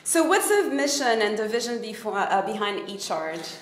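A woman interviewing a guest at an event, asking brisk, upbeat questions. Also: mid-range voice, big pitch swings. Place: event press area in front of a logo backdrop, indoors. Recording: roomy event interview recording.

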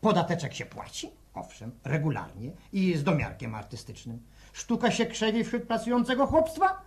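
A man's voice: high voice, very animated, normal speed. A swooping, slick travelling salesman. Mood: wheedling, defensive. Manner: wheedling, diminutive-laden, hesitant patter. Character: slick, fawning travelling portrait salesman, fussy and wheedling. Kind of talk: 1960s Polish radio drama acting.

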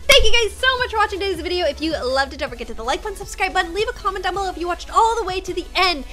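A woman's voice: very high voice, animated, very fast, pushed and bright, soft background music. A woman in her twenties hosting a gaming video, theatrical and girlish as she chats and roleplays. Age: 20s. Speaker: YouTube gaming host. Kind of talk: dramatic improvised game roleplay and chatty narration. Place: small indoor room.

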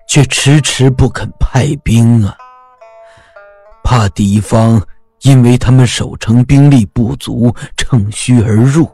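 A man voicing an aging army veteran who sighs heavily and speaks slowly, with regret in his voice. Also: medium pitch, mellow, slightly rough. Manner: slow, sighing delivery. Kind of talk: audio drama acting.

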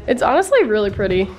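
A woman's voice: a little high, a little mellow, rough recording. A young woman chatting casually as a vlogger, recorded on a handheld camera while out exploring. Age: young adult. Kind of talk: casual vlog chat. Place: handheld camera on the move in an abandoned school, later outdoors and in a car. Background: music.

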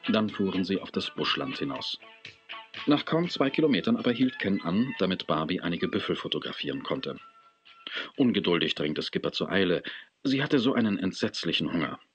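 A man narrating a children's radio play as a storyteller, in a measured, expressive manner. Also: sits low, highs cut off, soft background music.

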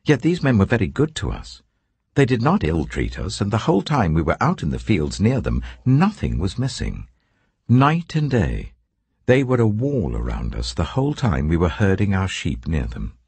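An anxious servant pours out a warning, as an older man reads him in a husky, gravelly voice that climbs higher with urgency. The pitch moves in a lively way. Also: normal speed, no room sound, heavily acted. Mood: urgent.

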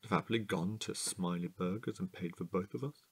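A man's voice: low-pitched, brisk, vocal fry, slightly rough, exaggerated voice. An actor playing a playful, easily bored, childishly eager man in an audio drama, indignant and teasing. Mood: sulky.

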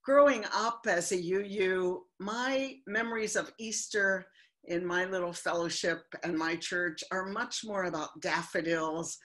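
Older lady preaching slow and thoughtful from home, webcam sound. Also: lowish voice, fairly bright tone. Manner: slow, reflective, heartfelt delivery. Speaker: minister. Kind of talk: sermon. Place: home living room. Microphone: webcam on video call.

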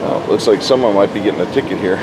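A man hosting a casual walk-around interview at an indoor farm toy show, chatty and relaxed with many fillers, recorded on a handheld camera mic. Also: on the low side, racing. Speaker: show host filming a toy display. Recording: loud background noise in a show hall.

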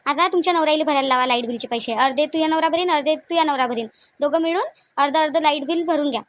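A woman voicing a domineering, thrifty, quick-tempered cartoon mother-in-law with a Varhadi Marathi accent, nagging in repeated rhetorical questions. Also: high-pitched, a bit bright. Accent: Varhadi Marathi (Vidarbha). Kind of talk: comedic cartoon dialogue.

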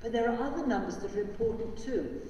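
An older man, a priest, talks gently and simply to children from the lectern. His tone is mellow and dark. The sound is roomy and distant, with a long church echo. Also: very high voice, lively intonation, quick pace, a little hiss or hum. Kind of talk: liturgical prayer and children's address. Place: large church. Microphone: lectern mic, far from camera. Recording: echoey church from far off.

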